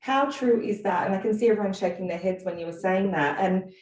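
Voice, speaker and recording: A woman's voice: mid-range voice, a little fast, a little mellow. A woman with an Australian accent, moderating an online business panel as its host. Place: indoors on a video call. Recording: echoey, distant microphone on video call.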